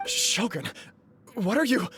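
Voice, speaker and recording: A man's voice: high voice, big pitch swings, slow pace, pushed and bright, faint music behind, exaggerated voice. A man playing an earnest, restrained young nobleman in an anime video game, speaking formally. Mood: startled.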